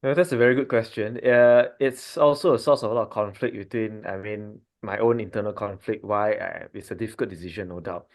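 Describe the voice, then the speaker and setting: A man from Malaysia, a doctor in his thirties, talking in a relaxed family podcast chat, thinking aloud with frequent fillers and restarts. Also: on the low side, brisk, hardly any pauses. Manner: thoughtful, many fillers and restarts.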